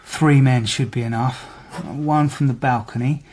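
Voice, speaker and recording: An actor playing a weary, methodical middle-aged police detective, slightly husky, measured and understated, in naturalistic radio drama style. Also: male voice, mid-range voice, fairly even intonation, a little mellow, slight background noise, lightly acted. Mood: matter-of-fact, planning. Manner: measured, matter-of-fact, understated.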